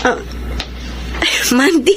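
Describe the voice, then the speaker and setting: A woman's voice: sits high, animated, drawn-out words, soft background music, full character voice. An actress playing a troubled young woman who tells her story earnestly, switching between drama scenes and confiding narration. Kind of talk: classic radio drama acting and narration.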